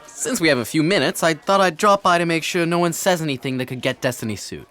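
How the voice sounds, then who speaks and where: An actor playing a smug, glib lawyer in comic audio theatre, reeling off disclaimers with a falsely casual air. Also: male voice, a little high, quick pace, fairly bright tone, natural voice. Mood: glib, breezy. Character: smug, glib lawyer defending a cosmic book.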